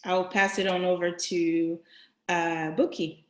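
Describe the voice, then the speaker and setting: A woman from Togo in West Africa speaking as a panelist on a video call, hesitant and pausing often. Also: on the low side, level tone. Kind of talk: self-introduction on a panel. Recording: roomy online video call.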